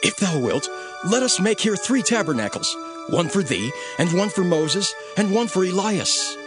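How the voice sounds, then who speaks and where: A fervent, impulsive disciple in a scripture drama. He speaks earnestly in a fairly high voice with lively swings in pitch. Music sits behind him. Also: moderate pace. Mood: eager, flustered.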